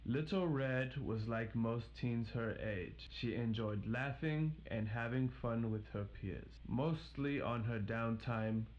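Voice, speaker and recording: A man's voice: medium pitch, a little mellow, muffled recording. A man reading a scripted fairy tale as the storyteller.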